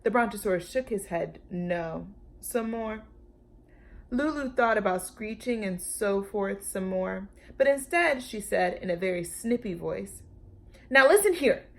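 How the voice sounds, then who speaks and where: A woman's voice: mid-range voice, a little slow, creaky. A woman reading a children's story aloud expressively, as a storytime host speaking to young listeners. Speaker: storytime reader.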